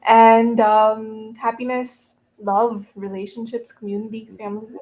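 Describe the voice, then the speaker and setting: A woman's voice: on the high side, little pitch movement, a little slow, a little mellow. A woman speaking reflectively as a learner on a discussion panel.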